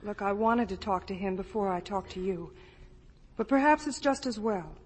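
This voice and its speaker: A woman's voice: medium pitch, moderate pace, slightly rough, close to an everyday voice. A woman acting in a 1950 radio drama as a determined young woman, polite, earnest and pleading. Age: young adult. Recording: echoey old radio recording.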